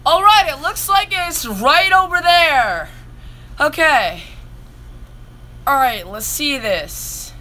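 A woman's voice: high-pitched, expressive, takes it slowly, pauses often, sharp tone, exaggerated voice. A woman playing an anxious, urgent young hero in an amateur Minecraft roleplay.

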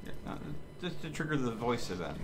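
A man's voice: mid-range voice, sing-song, fairly quick, background noise. A man in casual co-op gaming chat, wry and wordy with a joking manner. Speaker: gamer in a streamed co-op session. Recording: voice chat mixed with game music. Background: game music.

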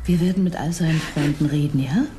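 An actress playing a composed, sympathetic police detective who questions gently in a crime radio play. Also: female voice, low-pitched, brisk, noisy background, natural voice. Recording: music playing behind the voice.

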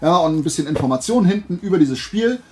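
A man's voice: a little high, lively intonation, fast, dark tone. A man hosting a casual YouTube haul vlog, talking informally in a rambling way as he thinks aloud. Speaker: YouTube host.

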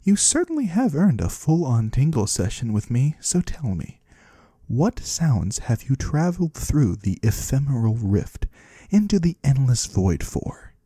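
A man playing a mysterious, courteous otherworldly trader in a soft-spoken ASMR roleplay, with a formal, soothing manner. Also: medium pitch, very animated, a little slow, slightly rough, no echo, clean studio sound. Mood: curious, measured. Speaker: ASMR performer.

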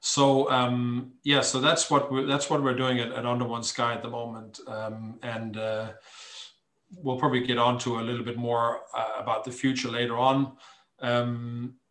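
A middle-aged Danish man with a mid-range voice tells his story as a guest on a video call. He speaks English with a Danish accent, pausing often and picking his way through phrases. The room around his computer mic is noticeably echoey. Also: medium pitch, little pitch movement, fairly bright tone. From Denmark. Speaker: interview guest, charity founder. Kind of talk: conversational interview storytelling. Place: small cluttered room at home, indoors.

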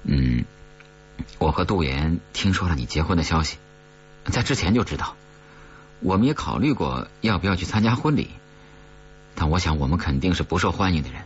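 A narrator playing an awkward, earnest, deep-voiced man. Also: lo-fi sound, slight background noise. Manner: halting, polite, hesitant. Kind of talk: audiobook character dialogue.

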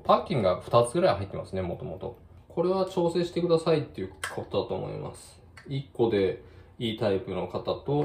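A man giving a casual, conversational hands-on DIY demonstration as a vlogger. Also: on the low side. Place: indoors at a kitchen sink by a window. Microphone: smartphone set near the sink. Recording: roomy echo, clear running-water noise. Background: running water and room ambience.

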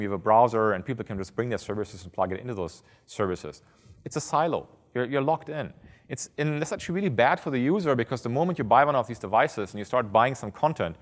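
Guy in his 30s rushing through a keynote, headset mic, echoey big hall. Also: medium pitch. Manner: fast, earnest, repeats words when restarting. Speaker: keynote speaker. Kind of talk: conference keynote talk. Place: large conference hall on stage. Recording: reverberant hall.

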